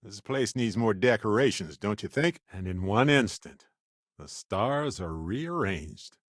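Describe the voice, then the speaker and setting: Middle-aged male space explorer, video game companion, lowish pitch, animated intonation, wry, genial, curious, conversational. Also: a little slow, dry recording, studio quality. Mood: reflective, musing. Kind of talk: video game character dialogue.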